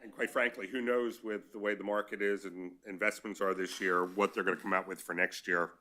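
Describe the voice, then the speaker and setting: Male board member, low pitched, forceful tone, chatty and agreeable. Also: flat delivery. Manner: chatty, quick to agree and joke. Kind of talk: meeting discussion.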